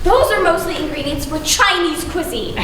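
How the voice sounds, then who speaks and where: Young woman as a shrill-pitched bossy gang ringleader. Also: expressive, normal speed. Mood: exasperated, commanding. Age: teens or young adult. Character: bossy, exasperated ringleader of bumbling crooks. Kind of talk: broad amateur stage comedy. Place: school theater stage. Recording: very echoey stage, distant mic, noisy.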